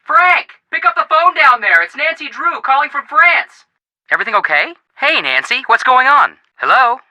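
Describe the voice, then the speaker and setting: A man's voice: very high voice, big pitch swings, normal speed, bright, forceful tone, no echo, full character voice. A man voicing a breezy, joking young amateur detective in a video game. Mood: eager, surprised. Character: Joe Hardy, wisecracking young male amateur detective.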